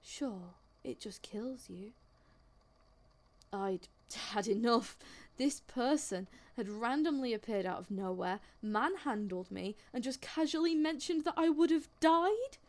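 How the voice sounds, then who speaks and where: A woman's voice: fairly high pitch, lively intonation, measured pace, a little mellow. A woman playing an indignant, sarcastic young witness in an audio drama, speaking in a colloquial, exasperated way. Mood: indignant recounting, then fed up. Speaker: voice actor in a fan audio drama. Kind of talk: audio drama acting, conversational monologue.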